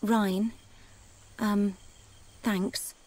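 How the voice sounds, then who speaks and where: An actress playing a steadfast, caring young woman soldier with a British accent, speaking in an earnest, measured way in a video game. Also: mid-range voice, level tone, a little mellow, dry recording. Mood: concerned, grateful. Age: young adult. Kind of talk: video game cutscene acting. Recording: game music behind the voice. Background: game soundtrack music.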